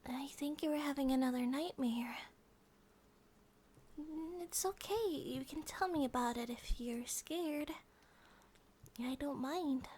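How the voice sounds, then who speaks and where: A woman voicing an affectionate, playful bear-girl wife in a gentle, rambling, intimate ASMR roleplay, often dropping toward a whisper. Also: high-pitched, slow pace, long pauses. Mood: tender, comforting. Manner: gentle, doting, hesitant rambling with soft giggles.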